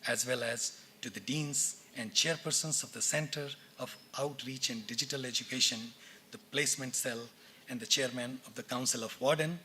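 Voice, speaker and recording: A man with an Indian English accent, giving a measured, formal read speech at a university convocation from a podium in a lecture theatre. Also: medium pitch, bright, forceful tone, a little hiss or hum. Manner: measured, formal, reads names and titles deliberately. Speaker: department head speaking at a convocation.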